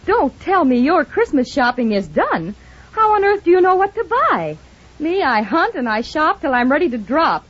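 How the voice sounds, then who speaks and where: A woman's voice: sits high, very animated, moderate pace, a bit bright, muffled recording. A woman performing a sung rhyming advertising jingle on an old radio recording.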